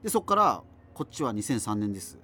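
A man's voice: on the low side, very animated, fast, pushed and bright, slight background noise. A man playing an amateur solo radio host in a doomed future, chatting in a rambling, self-interrupting way in a radio drama. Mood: chatty, rambling. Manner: rambling, self-interrupting, digressive, casual asides. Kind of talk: conversational radio monologue in a scripted radio drama.

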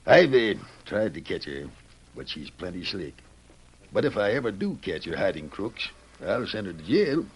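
A man's voice: sits low, very animated, normal speed, breathy edge, full character voice. A man playing a grizzled, folksy Old West sheriff with an in a radio drama. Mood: folksy, candid. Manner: folksy, plain-spoken. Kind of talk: old-time radio Western acting.

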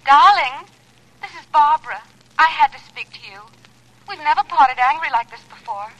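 An actress playing a hurt, jealous sweetheart pleading on the telephone in a 1940s radio drama, plaintive and questioning. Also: female voice, high-pitched, lively intonation, moderate pace, a bit bright, highs cut off. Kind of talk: 1940s radio thriller acting. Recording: noisy old radio telephone scene.

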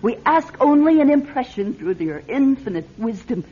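An actress playing a scheming middle-aged fortune-teller in a classic radio drama, grandiose and coaxing in manner. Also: female voice, fairly high pitch, very animated, moderate pace, breathy edge. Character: theatrical, scheming female fortune-teller and fake medium. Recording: muffled vintage radio, music behind.

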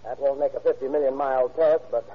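An actor playing an eager, talkative motorist at a gas station in a scripted radio ad. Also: male voice, mid-range voice, fairly even intonation, fast, breathy edge, natural voice. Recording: muffled old radio.

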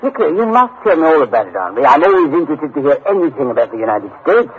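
An actor playing a genteel, over-friendly gentleman with shady motives, drawling and hesitant, in an old radio drama. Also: a little high, very animated, fast talker. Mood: ingratiating. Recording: muffled, hissy old radio recording.

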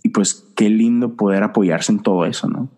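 Husky-voiced man hosting a chat. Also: fairly low pitch, fairly even intonation, normal speed, a little mellow. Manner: friendly questioner with many affirming murmurs. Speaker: interview host. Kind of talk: casual chat.

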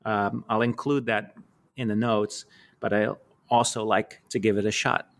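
A man's voice: lowish voice, flat delivery, a little slow, creaky. An American man hosting a podcast, giving a chatty, self-deprecating spoken introduction. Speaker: podcast host. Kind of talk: conversational introduction.

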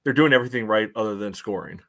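An American man with a fairly low voice talks casually as a podcast co-host. His analysis comes out measured and halting, with a dry sense of humour. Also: creaky, sharp tone. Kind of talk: casual sports analysis chat.

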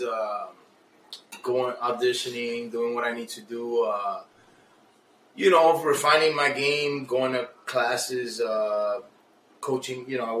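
A man's voice: mid-range voice, fairly bright tone, slight background noise. A man chatting easily as an actor guest on a podcast. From Washington Heights, New York. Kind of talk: casual chat. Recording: echoey room, mic at a distance.

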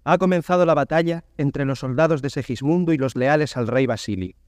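A male narrator reads scripted scene-setting for a play. His voice is a little high for a man. The recording is dry, with no room around it. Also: a little fast, darkish tone, dry recording.

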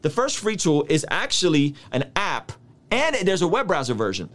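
A man in his thirties, a native Russian speaker, giving an energetic, slangy Bible study lesson. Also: fairly high pitch, moderate pace. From United States. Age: 30s. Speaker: Bible teacher. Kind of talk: casual video teaching.

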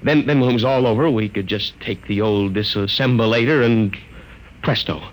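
A man's voice: fairly low pitch, normal speed, lightly acted, low-quality recording. An actor playing a timid, downtrodden, self-doubting man in a mid-century radio drama, with hesitant, stammering delivery. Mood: excited, scheming. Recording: vintage radio recording with music behind.

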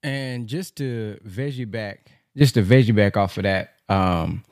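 A man's voice: on the low side, measured pace, darkish tone, dry recording, clean studio sound. A man hosting a podcast, casually following up on his guest's point. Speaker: podcast host. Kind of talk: casual discussion.

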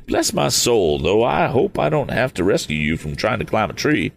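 A flustered, eccentric old gentleman, put on by a man reading a storybook aloud bursts out in sputtering exclamations. His voice sits well above the reader's own deep tone and swings widely. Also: very animated, normal speed, creaky, slight background noise. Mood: jovial. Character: eccentric excitable older man, fond of exclamations.

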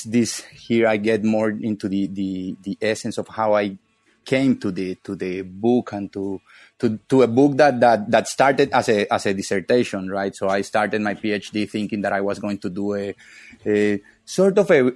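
A man's voice: lowish voice, darkish tone, no room sound, clean studio sound. A man from northern Colombia, a historian being interviewed about his book, who often stops and restarts his sentences. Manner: halting, many repeated words and restarts. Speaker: historian, author and guest. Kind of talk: academic interview.

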